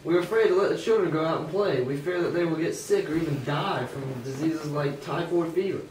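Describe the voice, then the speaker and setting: A man's voice: a little high, brisk, darkish tone, lightly acted. A man playing a worried working-class father in the city, in an amateur puppet show. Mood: somber. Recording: echoey room, distant mic, faint noise.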